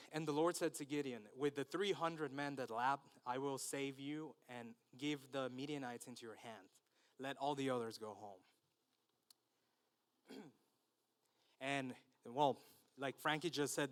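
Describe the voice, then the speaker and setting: A man from Mexico whose first language is Spanish, preaching in English with a Mexican accent, nervous and hesitant with many fillers as he reads scripture. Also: medium pitch. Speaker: guest preacher. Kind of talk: sermon with scripture reading.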